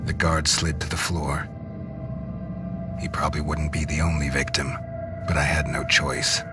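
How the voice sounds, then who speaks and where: A man's voice: extremely low pitch, level tone, moderate pace, loud music behind the voice. An actor playing a brooding, grave male vampire in a video game, in scripted noir style. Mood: brooding, grim. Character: brooding male vampire hunting for life-saving blood. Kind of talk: scripted first-person noir narration and game dialogue.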